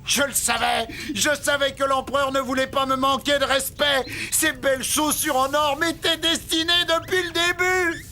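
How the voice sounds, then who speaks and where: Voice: sits high, fairly even intonation, moderate pace, hardly any pauses, bright, forceful tone, a little hiss or hum. An actor voicing a vain, boastful little male scarab beetle in a cartoon, with pompous, exclamatory delivery. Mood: excited, boastful. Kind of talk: cartoon character acting. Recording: music behind the voice.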